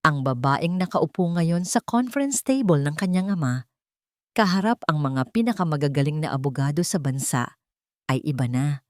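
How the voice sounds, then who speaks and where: A woman's voice: low-pitched, animated, moderate pace, darkish tone, no echo, clean studio sound. A woman narrating a scripted drama as storyteller, solemn and emphatic, in short dramatic phrases.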